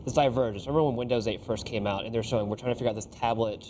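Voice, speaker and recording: Fast-talking tired man, noisy background. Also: mid-range voice, steady tone, a little mellow. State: tired and sick. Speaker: tech show co-host. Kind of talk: casual tech commentary.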